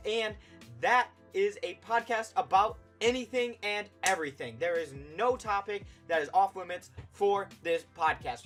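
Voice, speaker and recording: A man's voice: high-pitched, fairly bright tone. A young man hosting a casual vlog, talking with enthusiasm and plenty of hyperbole. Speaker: YouTube host. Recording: music playing behind the voice. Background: background music.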